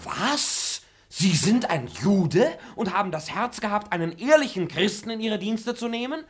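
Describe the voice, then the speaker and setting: A man's voice: high voice, animated, measured pace, a bit bright. An actor playing a cheeky, quarrelsome male servant who talks in a brash, grumbling way. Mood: indignant. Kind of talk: radio play acting.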